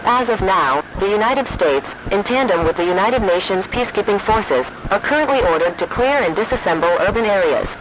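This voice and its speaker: A man's voice: high voice, animated, fairly quick, few pauses. A man voicing an impersonal emergency alert system, reading formal, stilted official warnings over noisy broadcast audio. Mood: official, grave. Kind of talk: scripted emergency broadcast announcement.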